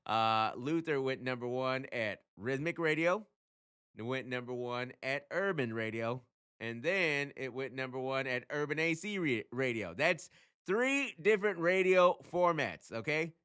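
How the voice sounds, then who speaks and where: A man hosting his own channel, talking casually and emphatically as he explains things, often throwing in rhetorical questions and quick check-ins. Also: mid-range voice, expressive, a bit bright, clean tone. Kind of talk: casual explainer talk to camera.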